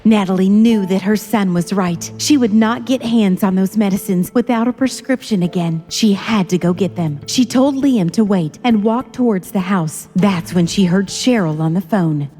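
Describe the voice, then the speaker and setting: A woman's voice: mid-range voice, moderate pace, few pauses, dark tone, soft background music. A woman giving scripted, dramatic story narration as the narrator of an audio drama.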